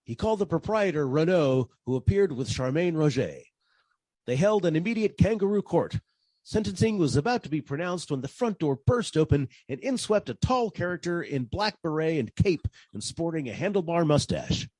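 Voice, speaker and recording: A man's voice: a little high, sing-song, moderate pace, darkish tone, no echo, poor audio. A man playing a wry, wisecracking 1940s private detective, narrating his case in old-time radio style. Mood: wry narration. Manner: dry, wisecracking.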